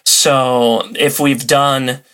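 A man's voice: medium pitch, level tone, extremely slow, bright, forceful tone, clean tone. A man chatting casually and enthusiastically as a podcast guest in an interview.

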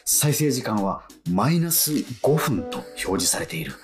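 An actor playing an excitable male fan who lives alone, narrating and muttering to himself in a flustered way in a radio drama. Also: medium pitch, unhurried. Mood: narrating, bewildered. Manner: animated, self-muttering, easily flustered. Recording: faint music behind.

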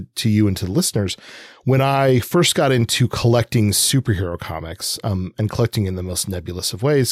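A man with a lowish, husky voice co-hosts a podcast. He talks casually and chattily, wandering off into asides, in a dry recording without room sound. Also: no room sound. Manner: chatty, digressive. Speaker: podcast co-host. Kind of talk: casual rambling discussion.

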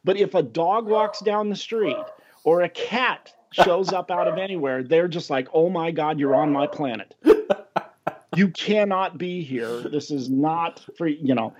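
A man's voice: a little high, measured pace, background noise. A middle-aged American man hosting a casual podcast chat, rambling and chuckling, a little self-deprecating. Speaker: podcast host. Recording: dull lo-fi audio.

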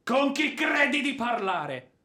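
An actor playing a gruff, hot-tempered, boorish former officer in an Italian radio drama, with a blustering, theatrical delivery. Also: male voice, very high voice, very animated, takes it slowly, pushed and bright, heavily acted. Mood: outraged blustering.

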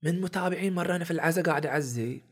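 A man's voice: fairly high pitch, very fast, slightly rough. A young Bahraini man with a village Bahraini accent, telling stories in a casual podcast chat and acting out the people he quotes. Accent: Bahraini village dialect. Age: young adult. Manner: animated storyteller acting out quoted exchanges. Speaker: guest, content creator and comedian.

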